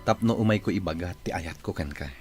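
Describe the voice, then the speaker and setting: An actor playing a rich, jealous, hot-tempered suitor in an Ilocano radio drama, with a husky voice. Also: male voice, low voice, a little fast, soft background music. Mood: pleading, lovesick.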